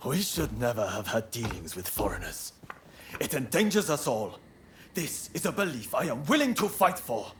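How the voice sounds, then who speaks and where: An actor playing a fiery, proud and idealistic young samurai in a video game, with earnest, formal phrasing. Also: male voice, mid-range voice, very animated, moderate pace, a little hiss or hum, lightly acted. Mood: indignant, resolute. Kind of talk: video game cutscene acting.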